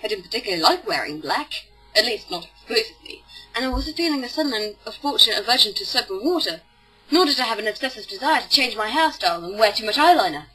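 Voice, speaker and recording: Bright, high-voiced woman reading mock-dramatically. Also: animated, quick pace, slightly rough, poor audio. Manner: theatrical, sarcastic. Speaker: amateur book reader. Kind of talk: mock-dramatic audiobook narration.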